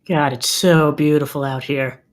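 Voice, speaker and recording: A woman's voice: deep voice, fairly even intonation, a little slow. A woman playing a nervous male hiker with a camera in a table read. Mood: awed. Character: giggly, nervous male hiker filming his wife.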